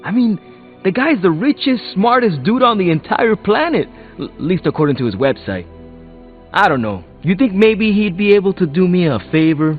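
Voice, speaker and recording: An actor with an American accent playing an earnest, self-conscious young hero in a video game, casual and hesitant. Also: male voice, high-pitched, expressive, moderate pace, narrow, tinny audio. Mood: starstruck. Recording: thin band-limited audio, faint music.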